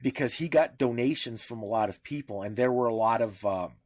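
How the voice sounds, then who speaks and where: A man co-hosting a casual discussion, talking haltingly, often searching for words and rephrasing as he goes. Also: medium pitch. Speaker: podcast co-host.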